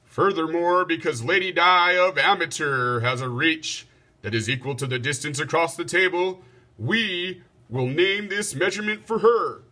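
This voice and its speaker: A man's voice: on the high side, moderate pace, pushed and bright. A man voicing a commanding, stately storybook king, formal and declamatory. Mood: proud announcing. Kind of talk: storybook read-aloud.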